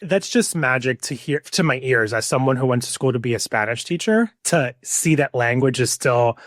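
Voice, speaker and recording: A man's voice: mid-range voice, moderate pace, a bit bright, no room sound. Male podcast host, dry sound. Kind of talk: conversational podcast interview.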